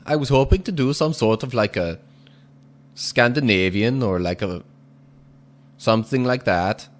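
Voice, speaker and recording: A man giving casual gameplay commentary while speaking in a put-on, exaggerated foreign accent, measured and deliberate, chuckling now and then. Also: medium pitch. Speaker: gaming video host.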